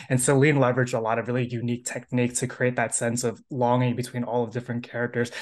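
A man with a mid-pitched voice asks long, polished interview questions at a brisk clip. He sounds prepared and steady, without stumbling.

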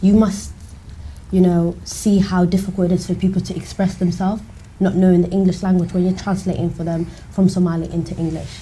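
A woman hosting a studio panel, calmly putting questions to her guests. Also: on the low side, a little fast, poor audio. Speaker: host, moderator. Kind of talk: interview questions. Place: TV studio, indoors.

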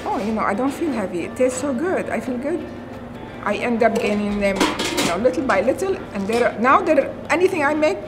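A woman's voice: on the high side, very animated, brisk. A middle-aged woman from Syria with an Arabic accent, a chef telling her story earnestly in a documentary interview, with many "you know"s. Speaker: chef and restaurant owner. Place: empty restaurant dining room. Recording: documentary interview with music underneath. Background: music.

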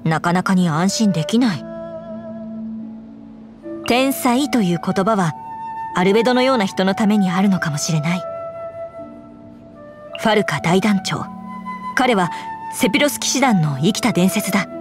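A woman's voice: medium pitch, animated, close to an everyday voice. Woman acting an earnest, formal lady knight commander. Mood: composed, thoughtful. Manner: formal, measured, earnest speech. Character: earnest, dutiful young female knight commander. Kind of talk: Japanese game character voice lines. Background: background music.

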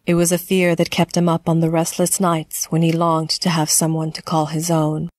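A woman narrating a scripted horror story in a measured, dramatic storytelling manner. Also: low voice, completely flat delivery, a little mellow, no echo.

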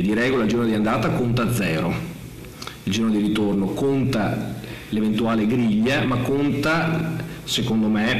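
A man in his forties, a basketball coach giving a measured, analytical post-game press conference at a table mic. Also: on the low side. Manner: measured, analytical, with frequent restarts. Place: press room in front of a sponsor wall. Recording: echoey press room.

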